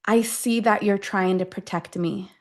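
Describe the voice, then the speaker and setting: A woman leading a guided affirmation meditation, speaking deliberately in short phrases with long pauses between them. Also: medium pitch, fairly even intonation. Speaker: guide of a self-affirmation tapping session.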